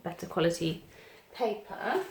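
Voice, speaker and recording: Croaky woman with a cold chatting. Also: lowish voice, lively intonation, measured pace, heavy background noise. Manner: rambling, apologetic, frequent ums. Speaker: planner vlogger. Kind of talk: casual chat over crafting. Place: home craft desk, indoors.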